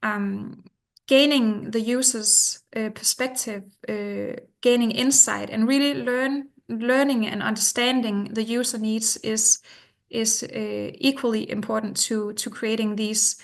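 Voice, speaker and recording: A woman with a Danish accent giving a careful, measured webinar presentation in English, her second language, over a video call. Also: on the high side, fairly even intonation, gravelly. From Denmark. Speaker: webinar presenter, product manager.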